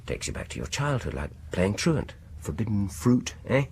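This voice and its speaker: Husky, low-voiced, sinister British dentist. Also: male voice, sing-song, a little mellow, heavily acted. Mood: slyly needling. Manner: deliberate, insinuating, falsely soothing, chuckling at his own remarks. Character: probing, needling male dentist with sinister false politeness. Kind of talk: radio horror drama acting.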